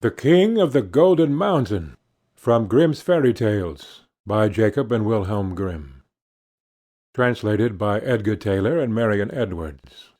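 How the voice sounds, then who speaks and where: Expressive male fairy-tale audiobook narrator. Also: on the low side, unhurried.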